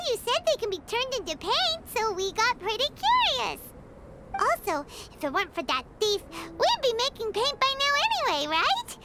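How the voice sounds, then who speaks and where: A woman voicing a tiny, chirpy female fairy companion in a video game cutscene, excitable and talking about herself in the third person. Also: very high voice, heavy background noise, full character voice. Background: game music.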